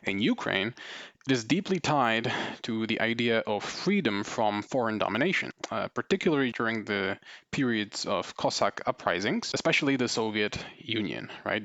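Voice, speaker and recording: A man's voice: on the low side, fairly bright tone, no room sound, muffled recording. A man presenting a video essay, explaining his points off the cuff with many fillers and tag questions. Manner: thinking aloud with many fillers and "right?" tags. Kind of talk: unscripted explanatory talk.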